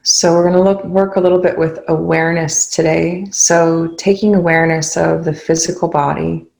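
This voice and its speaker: Low, even-voiced woman guiding meditation. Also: vocal fry, rough recording. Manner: calm, unhurried, with long pauses. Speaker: meditation guide. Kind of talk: guided meditation, spoken softly to listeners.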